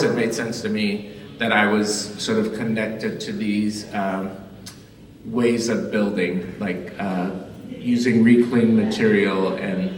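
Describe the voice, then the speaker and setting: A man from Liberia giving an artist talk in a gallery, speaking slowly with many pauses and fillers. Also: fairly low pitch, a little hiss or hum. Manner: slow, halting, many fillers. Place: large echoey gallery indoors. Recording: roomy hall, far from mic.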